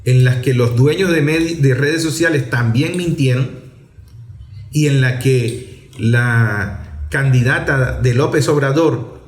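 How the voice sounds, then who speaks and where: A man with a Venezuelan accent giving political commentary in an emphatic, rhetorical way, pausing deliberately between phrases. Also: mid-range voice. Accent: Venezuelan Spanish. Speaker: political commentator on an online program. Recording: echoey, reverberant room.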